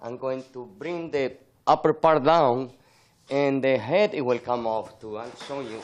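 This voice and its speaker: A man's voice: mid-range voice, unhurried. An older man from Spain with a Spanish accent, explaining his work as a sculptor in an interview. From Spain, born in Andalusia, grew up in Madrid.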